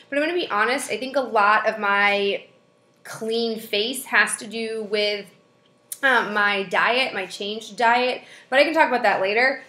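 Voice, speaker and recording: A woman's voice: on the high side, pushed and bright, roomy sound. Stuffed-up young woman vlogging chattily. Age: young adult. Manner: chatty, friendly, with frequent fillers. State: stuffed-up nose from a head cold. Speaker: beauty vlogger. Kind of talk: casual product review. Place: small room indoors. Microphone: webcam at desk distance.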